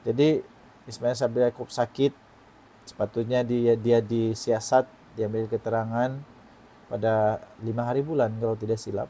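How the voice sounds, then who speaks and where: A man's voice: medium pitch, little pitch movement, moderate pace, pauses often, mellow, a little hiss or hum. A man in his forties with a Malaysian Malay accent, giving online political commentary and putting questions to his viewers. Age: 40s. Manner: emphatic, poses rhetorical questions to viewers.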